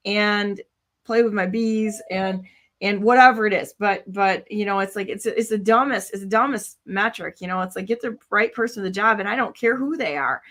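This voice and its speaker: A woman's voice: mid-range voice, fairly bright tone. A woman in her sixties hosting a casual chat, blunt and rambling, full of "you know" and "like". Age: 60s. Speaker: host.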